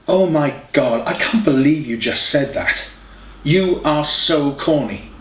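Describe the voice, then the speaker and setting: A man's voice: on the high side, slow pace, pitched above the performer's own voice. A man voicing a playful, teasing young girlfriend with mock exasperation in an audiobook. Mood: mock disgust. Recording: echoey room, distant mic, faint hiss.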